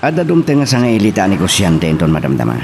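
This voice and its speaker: A man's voice: sits low, wildly swooping pitch, fast talker, vocal fry, noisy background, exaggerated voice. A man playing a businesslike man in an Ilocano radio drama.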